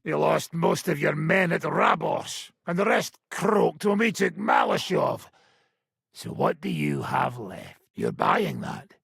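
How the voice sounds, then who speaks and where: A man voicing a gruff, sardonic middle-aged mercenary bandit in a video game, with a raspy, gravelly voice and a blunt, coarse, mocking manner. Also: fairly high pitch, a bit bright, no echo, clean studio sound, heavily acted. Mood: irritated, harsh.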